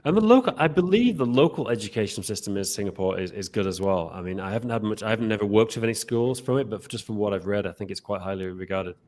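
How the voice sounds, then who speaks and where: A man's voice: on the low side, expressive, fast talker, hardly any pauses, darkish tone. A man hosting a podcast interview, asking long rambling questions full of restarts. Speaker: podcast host. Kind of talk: casual interview.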